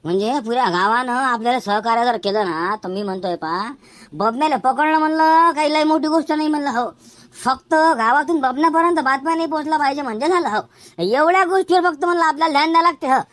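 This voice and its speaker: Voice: high-pitched, fast, fairly bright tone. A performer voicing a scheming cartoon village man who reasons persuasively in a rural Varhadi Marathi accent. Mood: planning. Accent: rural Maharashtra, Varhadi Marathi. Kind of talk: comic cartoon dialogue.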